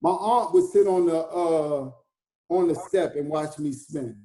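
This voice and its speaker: An American man in his fifties, a DJ and hip house artist, talking in a relaxed conversation and laughing easily. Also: fairly high pitch, a little slow. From United States. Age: 50s. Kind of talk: casual conversation.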